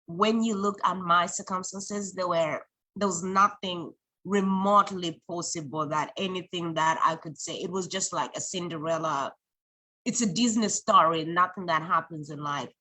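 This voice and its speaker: A woman from Zambia speaks as an interview guest. Her voice sits fairly low and sounds bright and forceful, and she talks in an earnest, reflective way, often backing up to restart a sentence. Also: normal speed, poor audio. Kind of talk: conversational interview.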